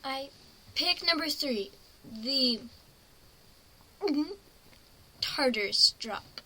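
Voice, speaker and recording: A woman's voice: high voice, animated, slow pace, pushed and bright, a little hiss or hum, exaggerated voice, poor audio. A woman voicing a timid, frightened young unicorn stowaway in a story reading, hesitant and stammering. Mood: scared, resigned.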